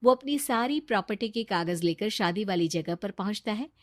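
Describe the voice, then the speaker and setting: Female story narrator: scripted delivery, lively melody, studio-dry recording. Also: mid-range voice, normal speed, dry recording.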